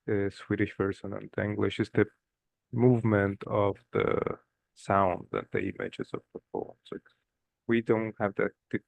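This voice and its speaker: Brazilian Portuguese-accented man, dry close sound, halting second-language English, seminar participant. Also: fairly low pitch, no echo, low-quality recording. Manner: halting, searching for English words.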